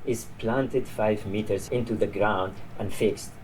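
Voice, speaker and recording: A man's voice: lowish voice, steady tone, unhurried, a little mellow, natural voice. A man reading the part of a tired old border guard in a story, chatty, dismissive and grimly amused. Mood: mocking, emphatic. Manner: weary, chatty, discouraging. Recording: noisy live reading in a bar.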